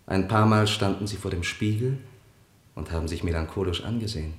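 A lowish male voice sounds in an echoing room. It is an actor as a formal, politely insolent servant in a radio drama, speaking deliberately and with quiet irony to his master. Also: close to an everyday voice. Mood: insistent, ironic. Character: politely insolent male servant, the ruler's truth-telling diarist. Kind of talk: German radio play acting. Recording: roomy, echoing studio sound.

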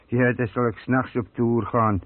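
An actor playing a talkative, self-satisfied older burglar in a radio play, colloquial and boastful. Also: male voice, mid-range voice, level tone, moderate pace, no room sound, lightly acted. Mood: indignant. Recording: dry, muffled telephone-like old radio recording.

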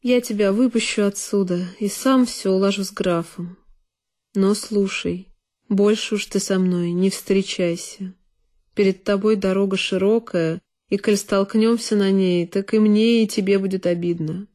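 A woman's voice: medium pitch, fairly even intonation, unhurried, mellow, lightly acted. A woman voicing a sentimental, stubborn male landowner in an audio play, earnest, insistent and fatherly. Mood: fatherly warning. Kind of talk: dramatic literary monologue in audio play.

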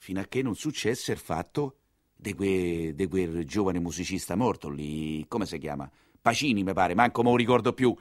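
A man's voice: deep voice, expressive, moderate pace, dry recording, clean studio sound. A man with a Roman accent performing a theatrical storytelling monologue as a coachman, in colloquial, musing dialect with chatty asides. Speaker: stage actor narrating as a papal-era coachman.

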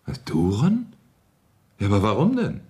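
An embittered deputy director in a radio play. His voice is low, and he talks in a blunt, sardonic way. He is a cynical man who sounds exasperated with those around him. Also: wildly swooping pitch, moderate pace, dark tone. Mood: indignant. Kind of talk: German radio drama acting.

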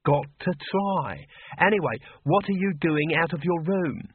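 Narrator voices a bossy self-important mother, raised pitch, muffled lo-fi sound. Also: lively intonation, unhurried, breathy edge. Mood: nagging. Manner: nagging, scolding. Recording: muffled phone-like audio, dry.

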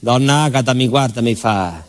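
A man plays a folksy village postman from the Italian south in a theatre monologue. He speaks in a dialect-tinged southern accent at a middling pitch. He is garrulous and sly, confiding in his listener with little asides. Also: a little fast, lightly acted. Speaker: stage actor.

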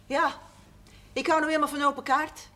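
A woman's voice: high-pitched, level tone, moderate pace, sharp tone. An actress playing a proud, forthright middle-aged farmer's wife and mother in a period television drama. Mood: reproachful, insistent. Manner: forthright, insistent. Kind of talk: 1970s Dutch television period drama acting.

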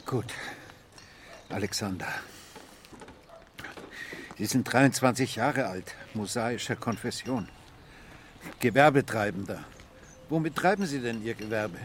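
An actor playing an insistent, condescending police inspector who probes in swings from quiet to loud in a radio drama. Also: male voice, medium pitch, unhurried, pauses often, slight background noise, close to an everyday voice. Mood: insistent, official.

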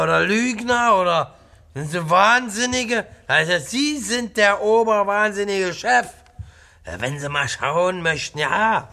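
An actor in a radio play as a drunken, cranky, coarse tenant who rambles and jeers. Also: male voice, high-pitched, creaky, bright, forceful tone, exaggerated voice. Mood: agitated. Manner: blunt, coarse, jeering, swinging between barking and muttering. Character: drunken, cranky, rambling jobless male tenant, newly widowed. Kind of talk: radio drama acting.

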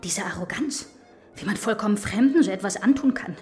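A woman's voice: medium pitch, expressive, fairly quick, over music, natural voice. A woman playing an indignant, frightened hostage in a crime radio drama, aggrieved and protesting. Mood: indignant, humiliated.

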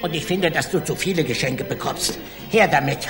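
A man's voice: on the high side, fairly quick, fairly bright tone, soft background music, lightly acted. A man playing a jovial but stern Santa Claus in a German children's radio play. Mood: stern, blunt.

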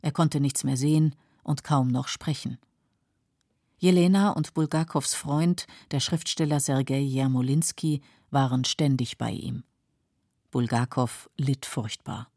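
Low, dark-voiced woman narrator. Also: fairly even intonation, dry recording, studio quality. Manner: sober, measured. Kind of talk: scripted documentary narration. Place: dry radio studio.

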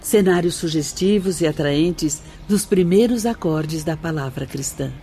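A gentle spirit sister. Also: female voice, low voice, unhurried, slight background noise. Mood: serene. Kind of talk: radio drama acting. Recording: radio drama with music underneath.

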